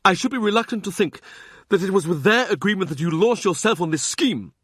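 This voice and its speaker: Guy putting on a bullying mocking old churchman, higher pitched, theatrical. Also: animated, a little fast, breathy edge, no room sound, studio quality. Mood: scornful. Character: domineering scornful older male cardinal.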